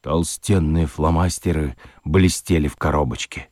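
A man narrating a children's radio play as an expressive, dramatic storyteller. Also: a bass voice, normal speed, no room sound, studio quality.

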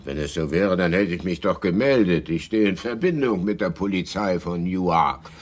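An actor playing a guarded, courteous bookseller under suspicion in a radio crime drama, measured and evasive in his politeness. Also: sits low.

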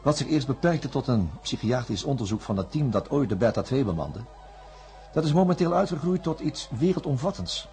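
An actor playing an anxious, conscientious doctor in a science fiction radio drama, with a grave delivery that turns halting under strain. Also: male voice, mid-range voice, very animated, darkish tone, soft background music. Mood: grave, reflective. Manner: grave, halting when agitated. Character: anxious, conscientious male doctor narrating a disaster.

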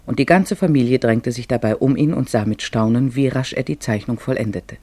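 Guy narrating a literary radio play, mellow husky voice, dry close sound. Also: medium pitch, brisk, no room sound.

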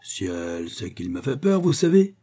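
A man's voice: expressive, normal speed, dark tone. A man voicing a drunken, rowdy rich man who is insolent, mocking and overbearing in a storybook reading. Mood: mock fright. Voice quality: big muffled bass in the story, boisterous. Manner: insolent, bullying, familiar.

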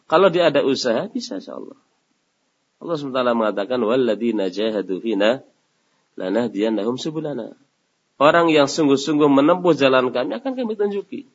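Guy giving a religious lesson, husky voice, kinda dull muffled recording, keeps asking "apa?" for the class to finish. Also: medium pitch. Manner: asks rhetorical questions and lets the audience finish them. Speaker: Islamic teacher. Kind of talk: religious study session Q&A answer.

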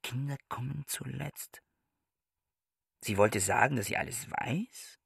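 An actor playing a stiff, bookish, anxious bridegroom in a German radio play, with a husky, brooding, pedantic way of talking. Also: male voice, medium pitch, slow, a bit bright, no room sound, clean studio sound, heavily acted. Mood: brooding, indignant. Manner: pedantic, brooding inner monologue.

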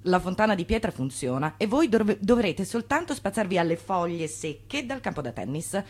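A brisk female estate agent from a radio play. Her sales patter is showy and theatrical, with pitch that swoops widely from phrase to phrase. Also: sing-song. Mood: salesy, effusive. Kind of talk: Italian radio play acting.

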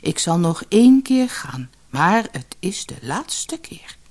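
A woman voicing a meek, hesitant old fisherman in a fairy-tale audiobook, breathy and half whispering. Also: on the low side, sing-song, takes it slowly, heavily acted. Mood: resigned. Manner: hesitant, hushed, resigned. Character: meek, timid old bald fisherman, henpecked husband.

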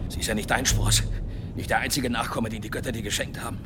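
A man's voice: fairly even intonation, quick pace, natural voice. An actor playing a fiery, desperate blacksmith father in a German audio drama, emphatic and confrontational. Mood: angry, bitter. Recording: clear rumbling background noise.

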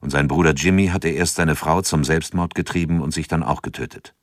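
Calm, measured male narrator, deep voice, scripted radio drama. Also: no echo, studio quality.

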